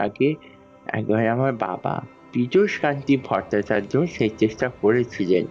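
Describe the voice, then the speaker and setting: A man playing a grave, elderly village priest, telling his tale in a measured, solemn way in a horror audio drama. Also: medium pitch, darkish tone. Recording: slightly dull studio sound under music. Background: background music.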